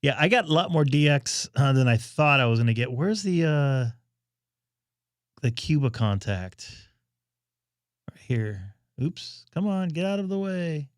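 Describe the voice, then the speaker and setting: A man hosting a ham radio live stream, chatting and thinking aloud as he operates, his voice going hoarse. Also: fairly high pitch, animated, a little slow, no echo, studio quality. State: losing his voice.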